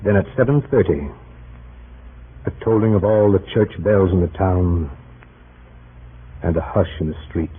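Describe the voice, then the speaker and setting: A man narrating an old-time historical radio drama in a grave, measured storytelling manner. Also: low-pitched. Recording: noisy, tinny old radio recording.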